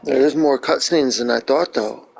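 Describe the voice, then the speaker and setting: A man's voice: medium pitch, normal speed, vocal fry, darkish tone, slight background noise, low-quality recording. A man chatting casually in an informal, offhand way. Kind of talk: casual chat.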